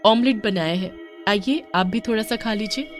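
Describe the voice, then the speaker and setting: A woman's voice: medium pitch, moderate pace, faint music behind, pitched above the performer's own voice, lightly acted. A woman voicing a bold, cheerful young wife who speaks spiritedly. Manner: spirited and defiant.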